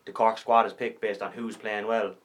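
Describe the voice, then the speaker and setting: A man's voice: lowish voice, level tone, moderate pace, a bit bright. A man co-hosting a casual podcast, thinking aloud in long explaining turns. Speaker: podcast co-host. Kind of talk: casual chat.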